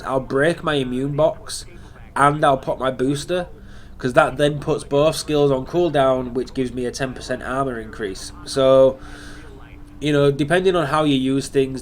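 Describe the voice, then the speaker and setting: A man hosting a gaming channel, talking through a build in casual commentary, sounding nasal and sniffly from hay fever. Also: mid-range voice. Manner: chatty, explaining step by step.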